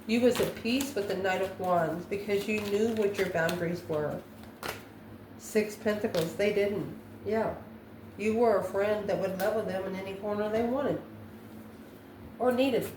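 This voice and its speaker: A woman's voice: mid-range voice, a little slow. Woman reading tarot in an echoey room. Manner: musing aloud, asks questions and answers them herself. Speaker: tarot reader. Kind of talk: improvised tarot card reading. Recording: roomy echo, faint background noise.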